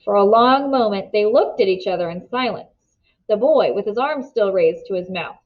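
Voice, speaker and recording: Animated woman reading a story aloud. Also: mid-range voice, moderate pace. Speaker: read-aloud narrator. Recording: slightly dull, muffled sound.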